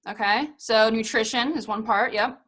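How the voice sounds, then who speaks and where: A woman's voice: on the high side, normal speed, fairly bright tone. A woman in her thirties, a former professional soccer player, talking casually to a college team on a video call, with lots of fillers. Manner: chatty, many fillers and hedges. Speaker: guest speaker, former pro soccer player.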